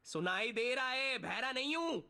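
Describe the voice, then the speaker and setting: A cheeky, high-voiced battle-game character. The voice is sharp and swings wildly through exaggerated, theatrical commands, squeezed very high for comedy. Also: male voice, expressive, moderate pace, heavily acted. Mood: annoyed. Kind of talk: exaggerated game voice-pack one-liners.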